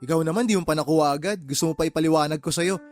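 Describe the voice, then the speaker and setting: A man's voice: fairly high pitch, racing, darkish tone, soft background music, close to an everyday voice. An actor playing an indignant uncle in a radio drama, scolding his older sister with emphatic rhetorical questions. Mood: fed up, furious.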